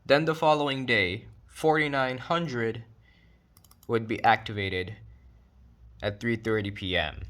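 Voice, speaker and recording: A man's voice: medium pitch, fairly even intonation, unhurried, long pauses, a bit bright. A man narrating an explanatory online video in a documentary style, with casual, conversational asides.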